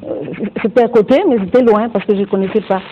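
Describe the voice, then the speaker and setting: A woman from Congo answering interview questions hesitantly, often pausing on fillers. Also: mid-range voice. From Democratic Republic of the Congo. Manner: hesitant, many fillers and restarts. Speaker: panel guest. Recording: tinny phone-like sound with faint noise.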